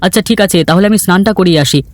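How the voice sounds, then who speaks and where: Man acting fast, high-voiced grumbling village fellow. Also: dry recording, close to an everyday voice. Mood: agreeable, grumbling. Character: good-natured, grumbling village husband and friend in a fairy tale. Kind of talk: Bengali cartoon voice acting. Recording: background music under the voice.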